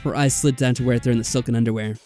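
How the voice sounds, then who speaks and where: A man's voice: mid-range voice, steady tone, very fast, warm tone. A man reading a visual novel aloud as a let's player, dropping in wry, exasperated casual asides. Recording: background music.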